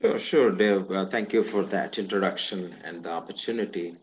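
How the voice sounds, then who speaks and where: A man from India with an Indian accent, answering interview questions about himself in halting, fragmented phrases. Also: sits low, muffled recording, slight background noise. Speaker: interview guest, business executive.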